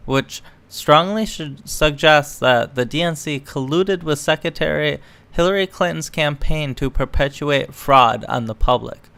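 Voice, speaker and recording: A man's voice: on the high side, sharp tone, clean tone, no room sound. A man reading news articles aloud and adding casual, sarcastic, opinionated commentary as an online political commentator. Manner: sarcastic, mocking.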